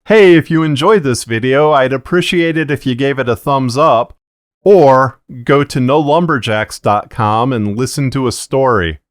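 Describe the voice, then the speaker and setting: A middle-aged man narrating a scripted explainer to camera in a measured, deliberate way, close on a broadcast mic in a small treated booth. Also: medium pitch, dry recording. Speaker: fiction podcaster and narrator. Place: small booth of acoustic blankets, indoors. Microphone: broadcast dynamic mic on boom arm, close.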